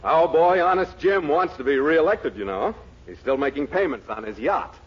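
A man acting a crooked American party boss from an old radio sitcom, fairly high-pitched and lively. He is breezy and shamelessly frank. The recording is muffled and noisy. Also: normal speed, close to an everyday voice. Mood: breezy, sly. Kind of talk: 1940s radio comedy acting.

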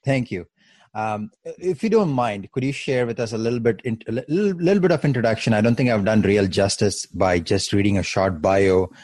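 A man hosting an interview podcast, polite and halting with many fillers. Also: lowish voice, a little mellow, no echo. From Canada. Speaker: podcast host.

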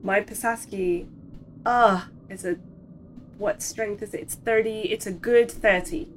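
A woman speaks at an unhurried, slow pace as a player in a casual tabletop game. Her pitch is average, with faint noise behind her. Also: fairly even intonation.

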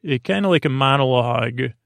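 A man with a creaky voice hosting a sleep podcast, talking in a soothing, meandering monologue that halts and drifts into tangents. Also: mid-range voice, expressive, no room sound, studio quality. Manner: meandering, halting, trailing into tangents; soothing, gently lulling. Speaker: podcast host.

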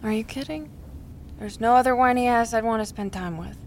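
A woman's voice: fairly high pitch, a little fast, darkish tone, a little hiss or hum, natural voice. A woman acting a loyal, dry, teasing best friend in her thirties in a naturalistic audio drama. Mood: teasing, supportive.

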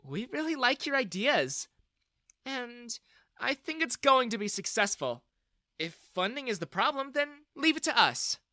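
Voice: mid-range voice, very animated, measured pace, bright, forceful tone, no echo, studio quality, voice pushed up. A narrator voicing an earnest, protective, fiery young woman in a dramatised audio story, with an emotional manner. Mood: encouraging. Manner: emotional, indignant, pleading. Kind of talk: dramatised first-person web-novel audio story.

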